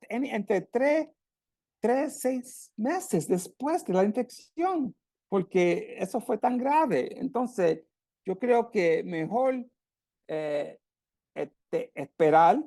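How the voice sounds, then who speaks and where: A man from Puerto Rico with a Puerto Rican Spanish accent, giving a medical lecture and answering questions, halting with frequent restarts and repeated words. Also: high-pitched, creaky, dark tone, no room sound, clean studio sound. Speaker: radiologist lecturer.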